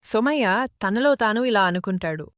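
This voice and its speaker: A woman's voice: medium pitch, lively intonation, normal speed, no echo, clean studio sound. A woman narrating a children's moral tale as a scripted storyteller.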